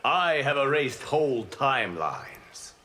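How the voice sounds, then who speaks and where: A man's voice: mid-range voice, very animated, slow pace, sharp tone, slight background noise, heavily acted. A man playing a noble, stern and righteous god in a fighting game. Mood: solemn challenge. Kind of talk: video game fight intro banter.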